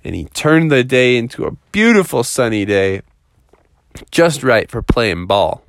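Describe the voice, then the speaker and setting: A man tells a made-up children's tale with very animated intonation. His wording is casual and colloquial, his voice sits at a middle pitch, and the sound is dry and close. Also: measured pace, no echo. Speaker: storyteller. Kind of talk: improvised children's storytelling.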